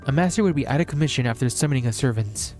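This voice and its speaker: Male streamer, mid-pitched and warm-toned, narrated read-aloud of a visual novel over background music. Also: expressive, rapid-fire.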